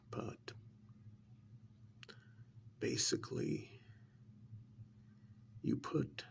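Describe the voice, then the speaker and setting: A man playing a sly, insinuating interrogator in an ASMR role-play, speaking in a slow, deliberate, soft whisper with mock admiration and dramatic pauses. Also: medium pitch, heavily acted. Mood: grim recounting. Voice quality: breathy whisper with gravelly creak. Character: sly, insinuating male interrogator needling a mad scientist.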